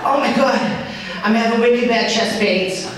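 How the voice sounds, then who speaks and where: A man on stage playing a loud, fretful mother with a Boston accent. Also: very high-pitched, unhurried, voice pushed up. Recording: echoey hall, distant mic, audience crowd.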